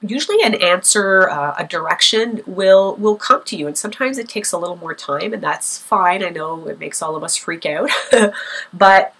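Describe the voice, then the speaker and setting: A woman's voice: lowish voice, animated, normal speed, a bit bright, husky. A young woman vlogger with a cold, sniffling a little, talking casually and thoughtfully to camera with many fillers.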